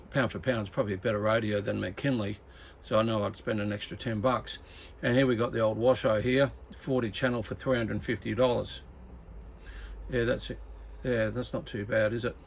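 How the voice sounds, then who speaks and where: Aussie guy rambling casually about his hobby, croaky fry in the voice, bit of background noise, stops and starts. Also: medium pitch. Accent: Australian. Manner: rambling, halting, thinking aloud. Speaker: radio hobbyist presenter. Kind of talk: casual unscripted product talk.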